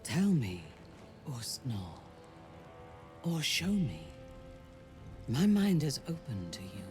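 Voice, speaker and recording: An actress playing a fierce, commanding dark elf warrior lover in a video game, imperious, seductive and deliberate. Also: female voice, rumbling low, big pitch swings, soft background music, exaggerated voice. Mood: seductive, inviting. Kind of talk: video game character acting.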